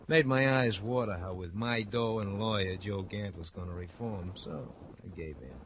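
An American actor playing a slick, mocking hoodlum turned respectable, florid and falsely friendly, in an old radio crime drama. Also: male voice, on the low side, moderate pace, close to an everyday voice. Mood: sly, taunting. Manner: florid, theatrical, drawling repetitions, needling chuckles. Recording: noisy vintage radio broadcast.

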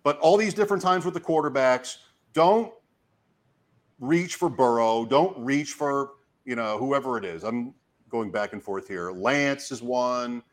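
A man, hoarse from talking, hosting a casual fantasy sports show and commentating in short, fragmented phrases as he thinks out loud. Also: mid-range voice, a little slow. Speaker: fantasy football show host giving draft advice. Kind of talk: casual talk, live draft commentary.

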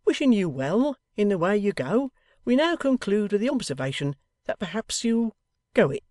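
A reader voicing a kindly, plain-spoken older gentleman who talks in an earnest, confidential way. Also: mid-range voice, big pitch swings, moderate pace, no echo, studio quality, voice pushed up, natural voice.

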